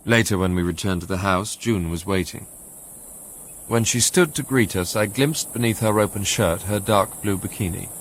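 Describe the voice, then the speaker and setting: Low, reflective English male narrator. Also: moderate pace. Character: educated Englishman recalling his romantic obsession, wistful and introspective. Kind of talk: first-person literary radio drama narration.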